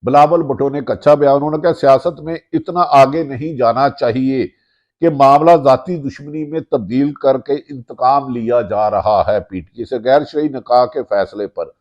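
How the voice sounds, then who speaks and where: A man's voice: mid-range voice, normal speed, a little mellow. A man with a Pakistani accent delivering political news commentary as a channel host, with an emphatic way of piling up short phrases. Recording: dull, muffled lo-fi audio.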